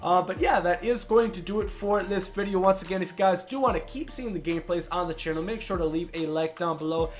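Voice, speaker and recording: A young man doing casual, excitable play-by-play over his own video game, a bit congested from a cold, in a small room at home. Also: sits high, fast, few pauses. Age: young adult. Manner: excitable play-by-play, calls hits like a broadcaster. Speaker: gaming YouTuber. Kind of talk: casual gameplay commentary.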